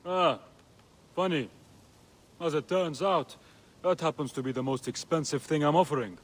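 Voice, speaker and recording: An actor playing a sly, joking market trader with a teasing, wheedling manner. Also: male voice, fairly high pitch, normal speed, lightly acted. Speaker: merchant.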